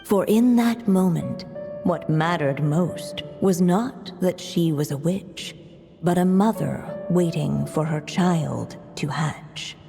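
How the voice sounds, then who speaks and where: A woman voicing a composed, knowing storybook narrator in a video game, with a measured theatrical delivery. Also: deep voice, creaky, music in the background, full character voice. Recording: long reverberant hall.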